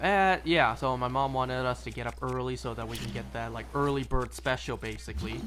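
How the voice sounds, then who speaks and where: A man's voice: mid-range voice, a little fast, fairly bright tone, slight background noise. A young man chatting casually and rambling through gameplay commentary, with wry little asides. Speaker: streamer.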